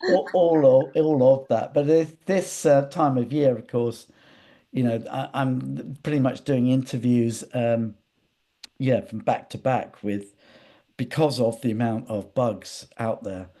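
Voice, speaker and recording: A man's voice: medium pitch, takes it slowly, dark tone. A man speaking as an interview guest, telling anecdotes in a hesitant, digressive way with repeated words. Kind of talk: conversational interview, rambling anecdotes.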